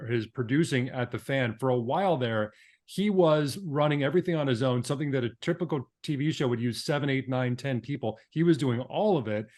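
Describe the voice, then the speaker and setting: A man's voice: medium pitch, darkish tone. A man hosting a podcast interview in a conversational style, building up to questions with long, wordy lead-ins. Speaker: podcast host.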